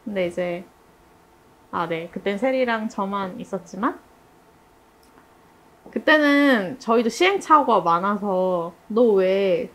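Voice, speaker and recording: A woman leading a casual group discussion, chatty and telling anecdotes in an animated way. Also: medium pitch, takes it slowly, long pauses, poor audio. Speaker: interviewer and project member leading the discussion.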